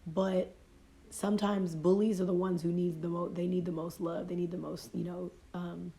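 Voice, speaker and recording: Mellow-voiced woman coaching with a cold. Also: on the low side, flat delivery, moderate pace, vocal fry. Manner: conversational, reflective, repeats and rephrases. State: has a cold, voice fading. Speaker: life coach. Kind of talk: motivational casual talk. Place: home livestream. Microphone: phone livestream.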